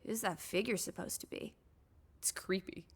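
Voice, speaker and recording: An actress playing a spirited, inquisitive, imaginative young female companion in a video game, expressive, playful and probing. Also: medium pitch, moderate pace, husky, natural voice. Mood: curious. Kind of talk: scripted game dialogue.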